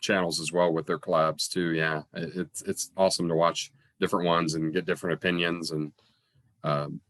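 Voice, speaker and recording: A man's voice: low-pitched, level tone, moderate pace, a bit bright, no room sound, clean studio sound. A man a little stuffed up with a cold, chatting casually as a guest reviewer. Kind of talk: casual chat. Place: room at home, on a video call.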